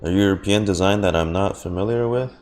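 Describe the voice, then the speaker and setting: A man's voice: low-pitched, brisk, darkish tone. A man giving casual, rambling live reactions to a game, reading lines aloud with wry asides. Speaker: game streamer. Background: game music.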